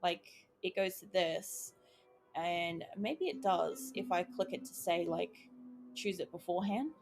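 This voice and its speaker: A woman playing a video game and chatting as she works it out, excited by each small success, her voice going croaky. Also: on the low side, unhurried, over music. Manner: chatty, thinking aloud, easily excited. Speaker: gaming YouTuber. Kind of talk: casual let's-play commentary.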